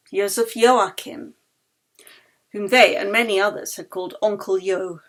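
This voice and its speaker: A woman author reading her own novel aloud in a measured, expressive way. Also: mid-range voice. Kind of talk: audiobook narration.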